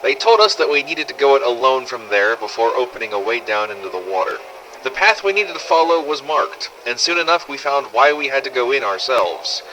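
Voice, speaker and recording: Casting: brash male apocalypse survivor played by a man for game-style audio log, bright forceful voice, blunt and sardonic, noisy ambience behind. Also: mid-range voice, animated, a little fast. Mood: matter-of-fact recounting. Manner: blunt, sardonic, swearing, conversational storytelling. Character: brash, foul-mouthed adult male survivor in zombie apocalypse. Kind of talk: scripted first-person audio log narration.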